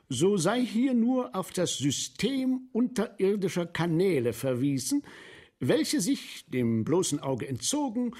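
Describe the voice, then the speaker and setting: An actor playing an eager, obliging guide to underground sewers in a German radio play, speaking in a declamatory, showy manner. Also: male voice, fairly high pitch, a little mellow, slightly rough, exaggerated voice. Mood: pompous.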